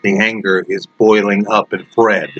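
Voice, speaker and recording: A man reading his own story aloud as a dramatic narration, with dark humour and wry, deliberate phrasing. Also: fairly low pitch, flat delivery, dull audio. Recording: loud music under the voice. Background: prominent background music.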